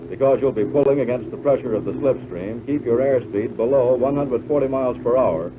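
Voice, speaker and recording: An American man reading scripted instructional narration for a 1940s military training film in a measured, instructive way. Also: mid-range voice. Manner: measured, instructive, addressing the listener as pilot. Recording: tinny band-limited 1940s film soundtrack, loud noise.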